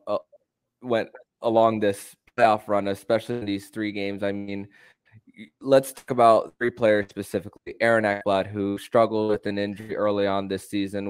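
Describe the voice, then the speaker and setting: A man hosting a sports podcast, talking in a halting way with repeated and drawn-out words. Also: on the low side, clear, resonant tone, dry recording. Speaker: podcast host.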